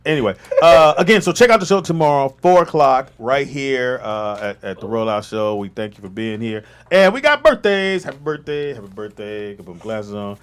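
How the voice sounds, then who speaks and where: A man's voice: medium pitch, fairly quick, hardly any pauses, clean tone. A man hosting a casual comedy show, joking around and reading out announcements. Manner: animated, joking, reads announcements. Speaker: show host.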